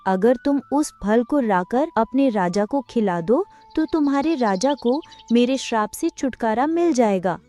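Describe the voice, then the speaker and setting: A woman voicing a kind crippled female goat in a Hindi cartoon, speaking gently. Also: fairly high pitch, lively intonation, a little slow, hardly any pauses, soft background music. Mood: helpful. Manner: gentle, forgiving. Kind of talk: cartoon voice acting.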